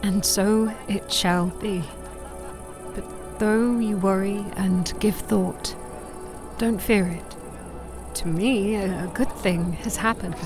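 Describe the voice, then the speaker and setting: Woman as calm wise elf mom, slow n gentle, formal wording, fantasy audio drama w some music behind. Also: medium pitch, natural voice. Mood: tender, encouraging. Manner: measured, gentle, formal phrasing. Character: wise, serene, tender elven mother.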